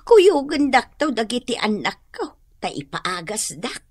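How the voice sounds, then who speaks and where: A woman acting a gentle middle-aged mother in a radio drama, with an Ilocano accent. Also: a little high, sing-song, a little slow, no echo, clean studio sound. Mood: earnest, hopeful. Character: gentle ailing mother awaiting eye surgery.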